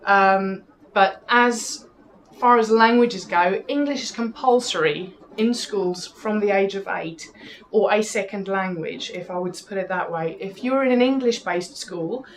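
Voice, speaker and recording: South African woman, measured interview answers. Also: medium pitch, rough recording. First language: Afrikaans. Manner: measured, orderly, lists points one by one. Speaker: interview guest, new presenter.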